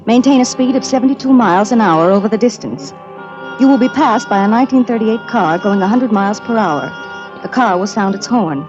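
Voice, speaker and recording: A woman's voice: mid-range voice, brisk, music in the background, lightly acted. An actress playing an earnest, anxious young woman in a 1940s radio drama, speaking with clipped urgency. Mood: mysterious, earnest. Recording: dull vintage radio recording.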